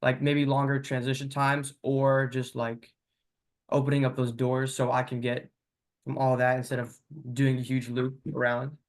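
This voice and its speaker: Rambling student, flat hesitant voice. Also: male voice, mid-range voice, moderate pace. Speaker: student asking a question. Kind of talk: casual questioning on a video call.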